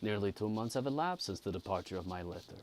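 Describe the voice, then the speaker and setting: A man voicing a courteous, sympathetic French gentleman from a gothic novel, with a put-on French accent and formal phrasing. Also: fairly low pitch, expressive, quick pace, a little hiss or hum, natural voice. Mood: gentle concern.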